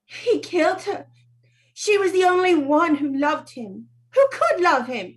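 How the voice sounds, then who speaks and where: A woman playing a distraught, vengeful woman in a stage play reading, theatrical and drawn-out. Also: very high voice, big pitch swings, full character voice. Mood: grief-stricken.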